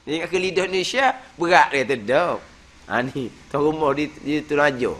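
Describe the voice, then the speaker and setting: A man, a religious teacher giving a weekly study lecture in a prayer hall, talking in a lively, conversational way with frequent "ha" fillers. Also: on the high side, fairly quick.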